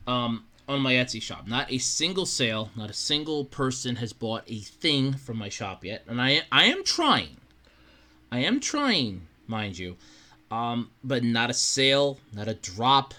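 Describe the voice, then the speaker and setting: A man hosting a podcast in casual solo chat, talking haltingly and repeating phrases for emphasis. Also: medium pitch, pushed and bright. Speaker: podcast host.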